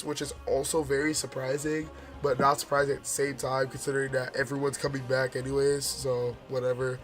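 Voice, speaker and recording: Male gaming vlogger, voice going hoarse, casual rambling commentary, mid-range pitch. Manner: rambling, many fillers and restarts; forcing his voice out. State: hoarse, losing his voice. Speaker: gaming video host.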